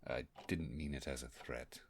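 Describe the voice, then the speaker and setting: Man as a very deep-voiced ancient creature scholar. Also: normal speed, creaky, slight background noise. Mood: apologetic, fascinated. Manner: pompous wordy diction with fumbling hesitations. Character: ancient scholarly furry creature, bookish, awkward, eager to impress. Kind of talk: immersive roleplay audio monologue.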